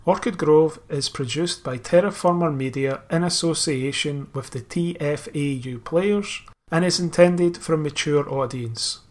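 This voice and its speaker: A man's voice: a little high, unhurried. Formal male show announcer. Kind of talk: scripted announcement.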